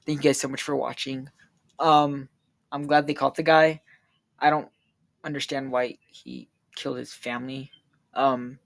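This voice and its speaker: Male true crime narrator reading a script steadily, gravelly and a little high, fighting off a cold. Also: no echo. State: feels he is losing his voice and getting sick. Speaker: true crime video creator. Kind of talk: read true crime narration with a casual outro.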